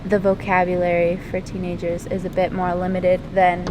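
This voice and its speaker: Hesitant teenage girl over loud rumble. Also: completely flat delivery, normal speed. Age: teens. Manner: hesitant, many ums and likes. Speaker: interviewee, lifeguard and swimmer. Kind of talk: casual interview answers. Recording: loud rumbling background noise.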